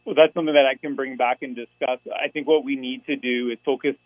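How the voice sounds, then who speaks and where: A man's voice: medium pitch, fairly even intonation, fast, no room sound, muffled recording. A man speaking earnestly at a public school board meeting, often restarting his phrases. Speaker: union representative presenting to a school board.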